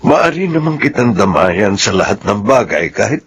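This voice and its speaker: A man's voice: fairly low pitch, sing-song, moderate pace, fairly bright tone, husky, exaggerated voice. An actor playing a loyal, deferential middle-aged houseman in a Filipino radio drama, speaking hesitantly with stammers. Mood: worried, hesitant.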